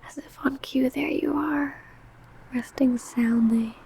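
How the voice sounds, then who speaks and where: A woman's voice: high-pitched, steady tone, slow pace, dark tone, slightly rough, heavily acted. A woman playing an ancient, possessive, doting female vampire in a whispered, intimate ASMR roleplay, crooning breathily. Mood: protective, possessive.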